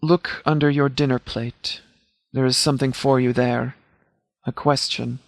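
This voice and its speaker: An actor playing a commanding post-apocalyptic sheriff in a video game, speaking in a clipped, formal, authoritative way. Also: male voice, mid-range voice, level tone, measured pace, no echo, studio quality, lightly acted. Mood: measured, formal.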